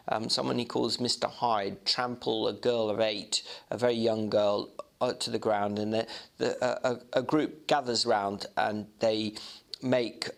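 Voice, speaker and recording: A man's voice: medium pitch, vocal fry, fairly bright tone. A man narrating a classic novel and explaining it informally, like a literature guide. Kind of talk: storybook narration and informal commentary.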